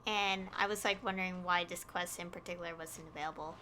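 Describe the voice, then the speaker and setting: A woman's voice: lowish voice, steady tone, quick pace, bright, forceful tone, slight background noise. A woman livestreaming a video game, chatting casually in a rambling, giggly way. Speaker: game streamer. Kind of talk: casual livestream chat over gameplay, reading game dialogue aloud.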